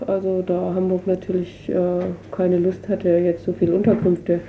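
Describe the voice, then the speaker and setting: Low-voiced woman, dull noisy recording. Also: completely flat delivery, measured pace. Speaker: interviewee, refugee council representative. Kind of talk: spontaneous interview explanation.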